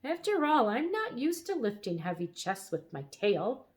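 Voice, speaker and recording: A woman's voice: a little high, very animated, moderate pace, pitched above the performer's own voice. A woman voicing a touchy, self-important male dragon in a storybook reading. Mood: huffy. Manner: huffy, cross.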